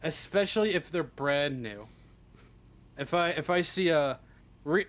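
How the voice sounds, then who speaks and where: A man chatting casually as an interview guest, halting and jokey, often laughing. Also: a little high. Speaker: interview guest, script writer. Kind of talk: casual chat. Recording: faint background noise on live stream.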